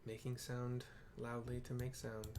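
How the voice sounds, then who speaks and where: A man's voice: mid-range voice, completely flat delivery, unhurried, noisy background. A man giving casual game playthrough commentary, thinking aloud in an informal, hesitant way. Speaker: gaming video host.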